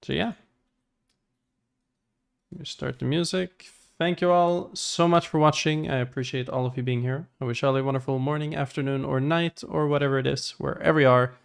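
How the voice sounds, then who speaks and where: A man's voice: mid-range voice, fairly even intonation, fairly quick, gravelly, clean tone. A man giving casual, chatty live gameplay commentary as a streamer, thinking aloud as he plays. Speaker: game streamer.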